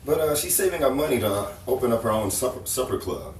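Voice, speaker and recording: A man's voice: medium pitch, sing-song, quick pace, darkish tone, lightly acted. Man playing a flustered painter in an echoey room. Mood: friendly. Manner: stammering, apologetic, nervously chuckling. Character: flustered, eager-to-please male landscape painter, 1950s romantic suitor. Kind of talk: 1950s-style live radio drama acting. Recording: roomy, distant mic, faint noise, audience.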